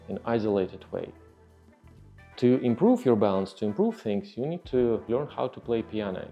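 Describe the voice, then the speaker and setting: Russian guy doing a fitness podcast, gravelly voice, kinda muffled audio w faint music, stops and starts while explaining. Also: fairly low pitch, mellow. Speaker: fitness trainer and podcast host. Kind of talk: explanatory podcast monologue on training.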